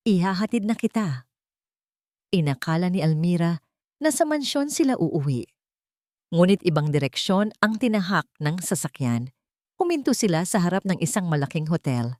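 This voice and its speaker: A woman's voice: on the low side, very animated, moderate pace, darkish tone, dry recording, clean studio sound. A woman reading a dramatic romance story as scripted narration.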